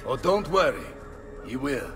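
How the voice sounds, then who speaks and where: An actor playing a boisterous middle-aged mercenary captain, booming and theatrical, in a video game. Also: male voice, very animated, measured pace, in a large hall, over music. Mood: hearty, confident. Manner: blustering, theatrical, swinging between bellows and tenderness.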